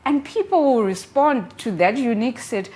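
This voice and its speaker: A woman's voice: on the high side, lively intonation, normal speed, darkish tone. A woman reviewing a book on a studio talk show, earnest and encouraging, with many casual fillers.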